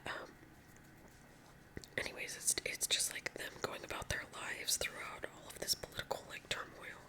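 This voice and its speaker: A young woman with a Californian accent rambles through casual ASMR small talk in a soft whisper. Her breathy voice is strikingly bright and sharp. She drifts between topics while doing her nails, with lots of "like" and "um.". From California, USA. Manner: rambling and chatty, full of "like" and "um". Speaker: ASMR creator. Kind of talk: whispered ASMR chit-chat.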